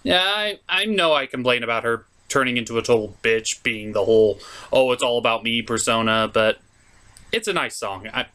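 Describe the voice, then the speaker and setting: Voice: mid-range voice, expressive, moderate pace, pushed and bright. A comedic host reviewing cartoons from a script in a theatrical, sarcastic, exclamatory way.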